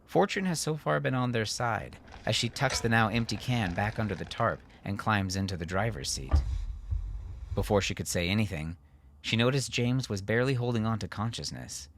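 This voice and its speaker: A man with a low voice narrates a dramatic story. His delivery is measured and deliberate, in the manner of a scripted audiobook. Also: animated, fairly bright tone, a little hiss or hum.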